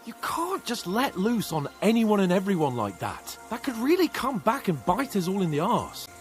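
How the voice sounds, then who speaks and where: A man's voice: high-pitched, moderate pace, soft background music. A bossy young nobleman. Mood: scolding. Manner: scolding, commanding, lively. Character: young proud male nobleman, bossy and touchy. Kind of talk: video game cutscene acting.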